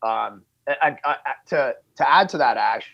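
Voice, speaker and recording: A man in a band, recalling a conversation thoughtfully in an interview, halting with restarts. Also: mid-range voice, animated, vocal fry, highs cut off. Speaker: band member.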